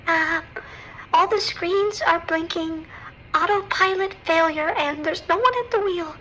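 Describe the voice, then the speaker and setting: Actress as scared lady calling 911, super high voice, shaky, hesitant, bit of background noise, audio drama. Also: unhurried, sharp tone, full character voice. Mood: shaken. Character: frightened, stranded female cruise ship passenger calling emergency dispatch. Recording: noisy emergency call with ambience.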